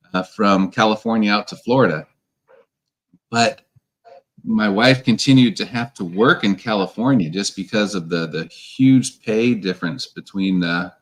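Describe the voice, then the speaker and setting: A man's voice: fairly low pitch, fairly bright tone. A man in his forties, an earnest interview guest who repeats words while thinking. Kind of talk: casual online interview.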